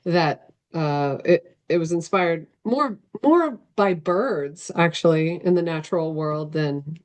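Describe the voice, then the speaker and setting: A woman novelist talking casually in an interview on a video call, reflective and halting as she searches for words. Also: fairly low pitch, darkish tone.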